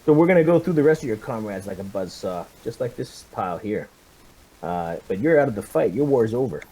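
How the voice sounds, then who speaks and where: A man playing a stern commanding officer in a tabletop game, measured and persuasive as he questions a prisoner. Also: on the low side, expressive, mellow, rough recording.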